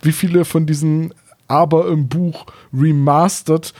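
A man with a somewhat high-pitched voice talks as a podcast co-host. His delivery is casual and conversational, with informal, joking turns of phrase. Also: fairly even intonation, a little slow, a little mellow. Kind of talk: casual chat.

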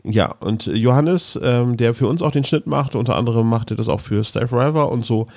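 A man's voice: mid-range voice, fairly quick, gravelly, a little mellow. A man co-hosting a podcast in casual, conversational chat. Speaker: podcast co-host.

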